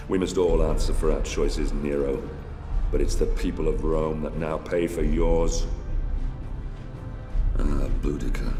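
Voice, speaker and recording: An actor playing a vengeful, brooding Roman soldier in a game monologue, solemn and deliberate, with a deep, gravelly voice. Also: male voice, full character voice. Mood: grim resolve. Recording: echoing long reverb under music.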